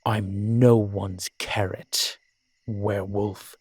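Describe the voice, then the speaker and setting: A man's voice: fairly low pitch, level tone, extremely slow, a little mellow, husky, no echo, clean studio sound, exaggerated voice. A man playing an ancient, proud vampire in an audio drama, speaking with formal, courtly diction and gravity. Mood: offended. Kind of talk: dramatic audio roleplay acting.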